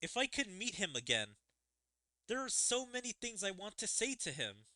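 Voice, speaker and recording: A man's voice: high voice, sing-song, moderate pace, pushed and bright, dry recording, voice pushed up, full character voice. A man voicing an earnest, emotional young daughter from a fantasy game. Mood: wistful, uneasy. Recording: game music behind the voice.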